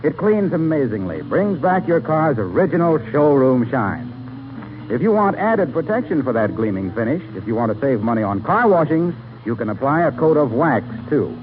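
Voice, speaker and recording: A man's voice: medium pitch, very animated, normal speed, phone-quality sound, background noise. A man announcing a scripted 1940s radio program with polished, emphatic delivery. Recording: thin vintage radio broadcast recording.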